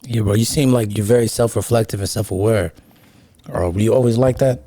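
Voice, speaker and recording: Male host, low voice, dark soft tone, dry sound, informal conversational questions. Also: brisk, no echo. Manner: informal, slangy, friendly address. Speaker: interview host. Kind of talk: casual conversational interviewing.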